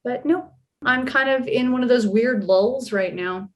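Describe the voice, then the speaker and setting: A woman's voice: fairly high pitch, unhurried, dull audio. A woman chatting casually as an interview guest, playful and teasing with dry jokes. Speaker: voice actor guest.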